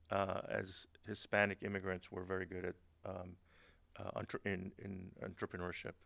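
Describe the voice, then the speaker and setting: A middle-aged man from Honduras speaks at a webinar, his low voice gravelly yet smooth and resonant. The sound is dry and close. He talks haltingly, with many fillers and restarts and the odd humorous aside. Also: pushed and bright, no room sound. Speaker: government agency speaker, veteran. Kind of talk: webinar presentation.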